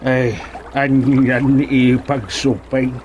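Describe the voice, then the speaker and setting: A man's voice: mid-range voice, fairly even intonation, takes it slowly, a little mellow, highs cut off, natural voice. A man playing a villager in a radio drama, talking in exclamations and sighing interjections. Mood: concerned. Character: male villager fretting over his cousin. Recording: background noise, water ambience.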